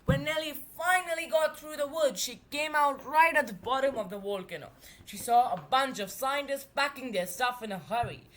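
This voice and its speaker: A woman reading and retelling a children's story aloud, expressive, with emphatic drawn-out words. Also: sits high, slight background noise.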